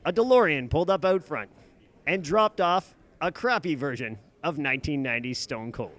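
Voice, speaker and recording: Smug wrestling heel played by a man, vain and pompous, stilted syllables with swooping, high-set pitch. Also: lively intonation, moderate pace, fairly bright tone. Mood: boastful. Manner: oddly stilted, pronouncing syllables at different times; pompous, refers to himself in third person. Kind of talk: in-character wrestling promo banter.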